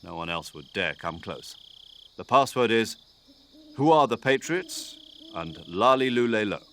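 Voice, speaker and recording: A man voicing an older military commander in a video game, precise and drily good-humoured, articulate and measured as he briefs an agent. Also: fairly low pitch, animated, fairly bright tone, slight background noise. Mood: businesslike, grave. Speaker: mission commander giving a radio briefing.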